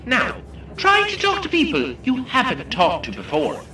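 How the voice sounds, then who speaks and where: A woman's voice: high voice, wildly swooping pitch, moderate pace, pushed and bright, reverberant, distant mic, slight background noise, exaggerated voice. A woman with an Irish accent playing a chirpy, ghoulish tour guide in an audio drama, with theatrical, jokey patter for a group. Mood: upbeat announcing. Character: chirpy, ghoulish female tour guide hosting a coach game. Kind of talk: audio drama acting, announcing.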